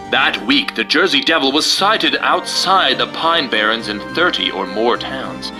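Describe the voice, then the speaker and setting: A man giving a dramatic, theatrical and emphatic reading of a children's story, with music behind him. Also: mid-range voice. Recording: music under the voice.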